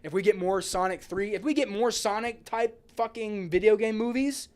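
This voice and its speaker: A male podcast host with a voice a little higher than most men's. He talks in an excitable, slangy way, swearing freely and circling back over his phrases as he chats. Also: moderate pace. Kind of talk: casual podcast chat.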